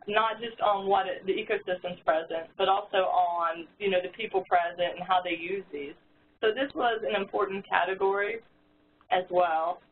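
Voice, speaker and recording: A woman presents over a conference call and talks listeners through a resource one step at a time. Her voice comes through a thin, tinny phone line with a faint hiss behind it. She often restarts her sentences as she goes. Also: medium pitch. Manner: explains step by step, with frequent restarts and fillers.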